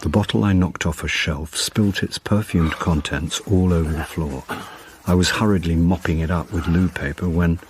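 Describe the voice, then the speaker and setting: An actor playing a wry middle-aged amateur sleuth and put-upon son, in first-person radio drama with an understated manner. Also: male voice, sits low, fairly even intonation, normal speed, background noise, close to an everyday voice. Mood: understated, watchful. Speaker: narrator and lead character.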